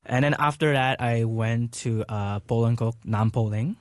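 A young man from Hong Kong, a guest in a casual interview, talking in a relaxed way full of fillers like "like". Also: medium pitch, moderate pace, no room sound, clean studio sound. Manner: relaxed, filler-heavy with frequent "like".

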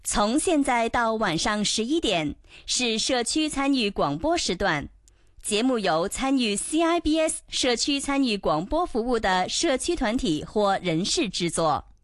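A woman's voice: on the high side, very animated, a little slow, no room sound, clean studio sound. A woman reading scripted station announcements for radio.

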